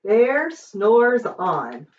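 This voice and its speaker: A woman reading a children's picture book aloud in an expressive, sing-song storytelling manner. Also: medium pitch, very slow. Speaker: storybook reader. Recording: slightly dull, distant microphone.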